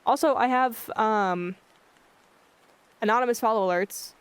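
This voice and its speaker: A livestreamer chatting casually with viewers in a monotone, blunt-sounding way, often losing their train of thought. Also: medium pitch, unhurried, clean tone. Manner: rambling, loses track of thoughts. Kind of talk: casual chat with live viewers. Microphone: filtered streaming mic.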